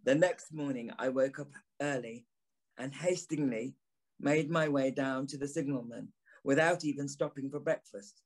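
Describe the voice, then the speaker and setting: A man playing a troubled, earnest gentleman in an audio play, speaking in a deliberate, dramatic manner. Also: medium pitch, close to an everyday voice. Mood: subdued, urgent. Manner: deliberate, dramatic, halting repetitions. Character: troubled gentleman narrator, earnest, haunted by a nightmare.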